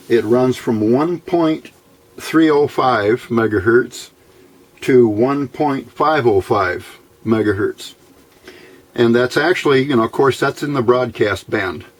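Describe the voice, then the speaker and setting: A man giving an unscripted technical explanation as a hobbyist, halting and deliberate, often clearing his throat. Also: medium pitch. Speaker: amateur radio hobbyist presenter.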